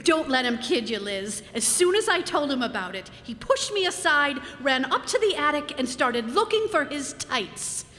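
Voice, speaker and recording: Woman playing a high-voiced, teasing sitcom wife. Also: very animated, moderate pace, hardly any pauses, bright, forceful tone, heavily acted. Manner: dry, teasing. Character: wisecracking, teasing wife of a hammy older husband. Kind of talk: radio sitcom acting. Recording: hall reverb, faint noise.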